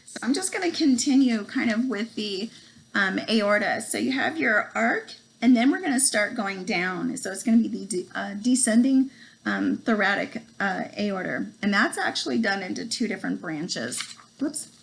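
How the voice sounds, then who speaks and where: A woman in her forties has a cold and is losing her voice as she walks through a homemade lesson. She talks in a halting, casual way, hesitating often and tripping over long words. She speaks at an average pitch into a laptop webcam in a small home room, with a faint hiss in the background. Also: expressive, vocal fry, low-quality recording. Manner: halting, many ums, stumbles over terms. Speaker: student presenter.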